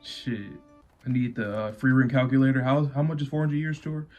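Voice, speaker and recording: A man's voice: mid-range voice, fairly quick, a little mellow, soft background music. A man giving slangy, laid-back commentary in a casual reaction chat. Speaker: anime reaction host.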